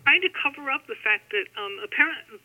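Halting woman guest, very bright voice. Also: sits high, lively intonation, rough recording. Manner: halting, deliberate, pausing between phrases. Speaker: author guest. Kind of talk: interview, explaining own novel.

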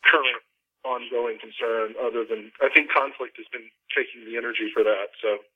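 A man's voice: mid-range voice, fairly even intonation, normal speed, slightly rough. Male panel guest, mid-range voice, informal, tinny phone-quality audio. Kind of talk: casual chat. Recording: narrow telephone-like line.

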